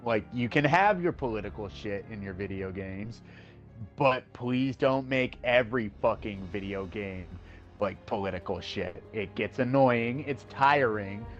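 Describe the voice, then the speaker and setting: A man's voice: fairly low pitch, a little slow. A man rants in casual stream chat, swearing freely and stumbling through fillers and restarts. The audio is a bit muffled, with faint music behind him. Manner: ranting, profane, many fillers and restarts. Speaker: co-host.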